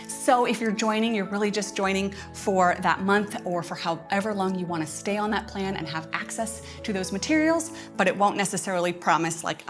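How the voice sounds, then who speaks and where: A woman hosting a casual live-stream update for members, talking in a chatty, informal way. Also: mid-range voice. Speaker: host, homeschool curriculum creator.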